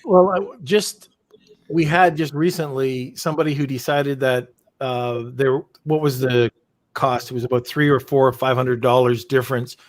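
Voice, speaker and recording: A man's voice: medium pitch, no echo. A man, a guest on an interview show, telling an anecdote casually with many fillers while he searches for words. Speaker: guest, logistics business founder.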